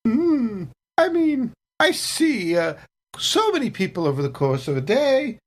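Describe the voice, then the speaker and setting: A woman's voice: fairly high pitch, sing-song, measured pace. Woman playing a sweetly coy cook, wildly lilting voice. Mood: coy, innocent. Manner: folksy, fussy, feigned innocence.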